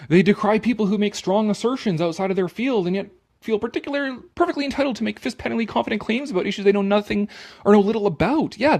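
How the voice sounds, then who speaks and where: A somewhat high-pitched male voice with a gravelly, husky grain. He reads aloud theatrically and drops in sarcastic, mocking asides in a loose, sweary, opinionated style. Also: a little mellow. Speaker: commentator reading an article aloud with his own remarks. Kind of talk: sarcastic dramatic reading mixed with casual opinionated commentary.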